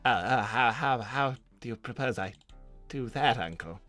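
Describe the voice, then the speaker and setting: A man's voice: medium pitch, expressive, moderate pace, a bit bright, no echo, faint music behind, voice pushed down, lightly acted. A man voicing a meek, servile nephew of a cartoon villain, stammering and hesitant as he talks. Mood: flustered. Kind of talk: improvised character livestream Q&A.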